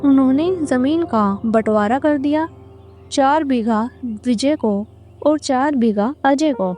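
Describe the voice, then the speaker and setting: A woman reads a scripted tale as narrator. Her voice sits fairly high yet has a very soft, mellow tone, and she takes her time over each line. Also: takes it slowly, soft background music. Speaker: story narrator.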